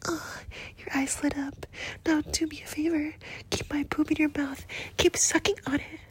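A woman's voice: sits high, flat delivery, close to an everyday voice. A woman as a whispering, doting nurse. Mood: whispery, doting. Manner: soft breathy whisper, slow and coaxing. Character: doting, flirtatious female nurse, motherly and teasing. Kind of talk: whispered ASMR roleplay.

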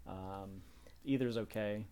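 A woman's voice: very deep voice, takes it slowly, crowd noise. A woman chatting casually as a panelist, jokey and given to rambling asides. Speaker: panelist on a group question-and-answer chat. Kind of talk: casual chat.

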